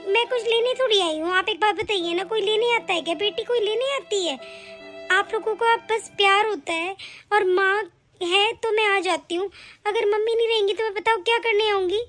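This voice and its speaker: Soft-spoken young sister, high-pitched and conciliatory, a woman acting. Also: moderate pace, soft background music. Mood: hurt. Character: gentle, hurt young married sister. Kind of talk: family skit acting.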